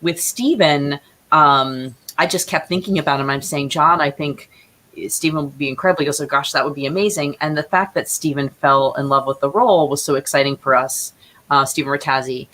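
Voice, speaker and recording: A woman with a very low voice chats casually in an interview. She is enthusiastic but halting, often regrouping mid-thought. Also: a bit bright. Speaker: guest playwright.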